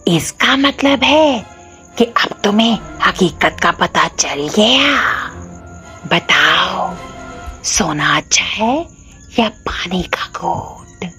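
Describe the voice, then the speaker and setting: A woman voicing a mysterious male stranger in a cartoon tale, taunting and deliberate, with a husky breathy voice. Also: mid-range voice, animated, bright, forceful tone, soft background music, heavily acted. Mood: testing. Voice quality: husky, breathy, sometimes whispery. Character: mysterious male stranger, mocking, testing a greedy king. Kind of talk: Urdu cartoon storybook dialogue.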